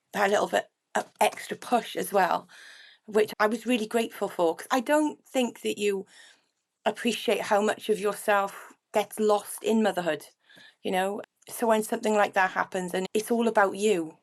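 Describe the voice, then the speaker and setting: A woman's voice: mid-range voice, creaky. A middle-aged woman from Wales, a guest singer-songwriter talking through her experiences in an earnest, reflective way. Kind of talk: casual interview chat.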